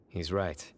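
A man's voice: sits low, slight background noise. An actor voicing a grave, reserved young male desert enforcer in a video game, solemn and terse.

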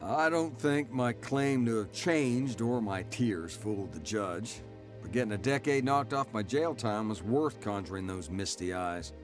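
A man's voice: fairly low pitch, expressive, unhurried. An actor playing a hardened male convict telling his life story in a scripted radio drama. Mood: wry, hard. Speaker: narrator and lead actor. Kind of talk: scripted first-person radio drama narration. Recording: music playing under the voice.

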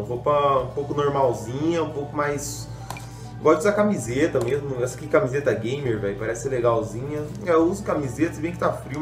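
A man's voice: on the high side, echoey room. A young adult man giving casual, rambling gameplay commentary, full of slang and self-mocking jokes, a little congested from a cold. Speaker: gaming youtuber.

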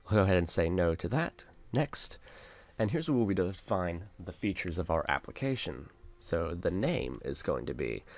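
Deep, gravelly male tutorial host. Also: a little mellow. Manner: instructional, step-by-step, informal. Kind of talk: casual software screen-share tutorial narration. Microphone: close mic, voice-over on a screen recording.

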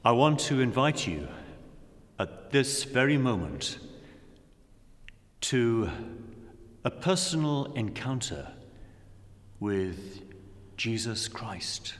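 Slow-speaking man in an echoing hall. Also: medium pitch, big pitch swings. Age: middle-aged. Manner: solemn, measured, with long pauses between phrases. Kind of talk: reflective sermon and prayer. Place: large dim indoor room. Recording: long echoing reverb of a hall.

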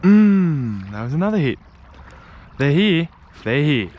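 A young man with an Australian accent narrating a casual fishing vlog in a laid-back, halting way. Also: fairly high pitch, sing-song, lo-fi sound, slight background noise. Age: young adult. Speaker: fishing vlogger. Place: outdoors on a grassy riverbank. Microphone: body-worn or handheld action camera.

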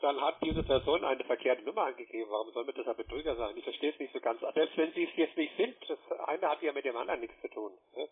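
A man's voice: mid-range voice, fast, hardly any pauses, husky. A man working as a call center agent, speaking defensively and repetitively in an unscripted phone call. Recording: tinny telephone line.

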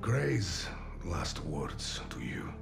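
A man playing a middle-aged father in a video game, grave and halting, guarded yet protective of his daughter. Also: sits low, big pitch swings, vocal fry, full character voice. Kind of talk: dramatic video game cutscene acting. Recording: music or noise behind.

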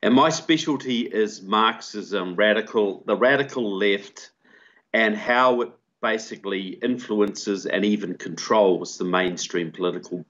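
A man's voice: lowish voice, a little slow. An older man with a New Zealand accent, explaining at length as a guest on a video call from a room at home. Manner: steady explanatory monologue. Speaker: guest, author and filmmaker. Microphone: video call with earbud mic. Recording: dull lo-fi call audio.

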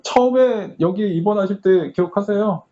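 A man from the hospital staff interviewing a former patient, asking gently and affirming often. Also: sits high, moderate pace. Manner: gentle, attentive, with frequent short affirmations. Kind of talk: casual interview. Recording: slightly dull recording.

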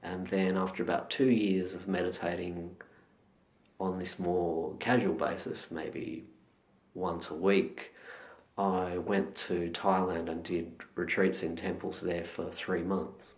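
A middle-aged Australian man with a low voice speaks unhurriedly and thoughtfully, as a guest telling his story. The sound carries an echo from the room around him. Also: level tone. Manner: slow, measured and thoughtful. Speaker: meditation teacher, guest. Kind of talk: reflective interview answers. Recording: echoey room.

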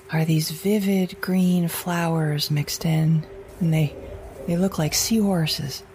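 A woman's voice: on the low side, darkish tone, slight background noise. An actress playing an anxious but defiant mother surviving a wild world in an audio drama monologue, fretful and questioning herself in broken phrases. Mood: weary, wondering. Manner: fretful, self-questioning, fragmented, repeating herself. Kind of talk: audio drama monologue, recorded-journal narration.

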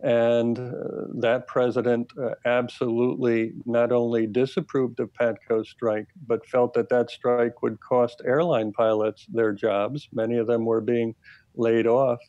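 American man, 50s, academic guest, deliberate phrasing, flat intonation, dull video-call audio. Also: mid-range voice, no echo. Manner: measured, deliberate. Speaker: expert guest, labor history professor. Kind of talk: explanatory interview answers. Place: plain room indoors. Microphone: earbud headset on video call.

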